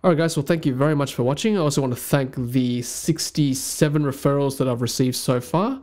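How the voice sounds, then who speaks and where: A man's voice: medium pitch, a little fast, a little mellow. A young man giving an upbeat, methodical voice-over, listing tips by number as the host of his channel. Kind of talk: voice-over explaining a map with slides.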